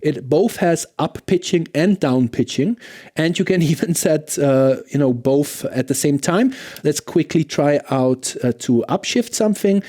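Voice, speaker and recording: A man's voice: on the high side, lively intonation, measured pace, dark tone. A man hosting a casual product review, talking conversationally and often checking in with a questioning "right?". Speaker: gear reviewer and video host.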